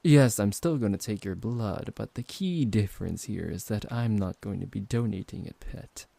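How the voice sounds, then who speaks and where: A man acting out a smooth-talking, possessive vampire professor in an intimate ASMR roleplay, politely condescending and teasing, close to the microphone. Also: lowish voice, moderate pace, warm tone, no room sound. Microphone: close microphone, dry.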